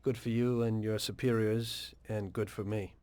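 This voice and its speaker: An actor playing a guarded, businesslike mobster with an American accent, speaking in a measured, discreet way in a game cutscene. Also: male voice, fairly low pitch, level tone, a little mellow, clear, resonant tone, dry recording, lightly acted. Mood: confiding, businesslike. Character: adult male mobster, guarded, politely businesslike, confiding. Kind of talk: dramatic dialogue in a video game cutscene.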